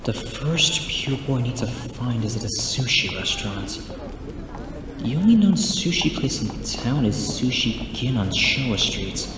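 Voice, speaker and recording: A man's voice: medium pitch, lively intonation, measured pace, noisy background, lightly acted. A man playing an earnest, determined young martial arts student in an audio drama, with an emphatic way of speaking. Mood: calm.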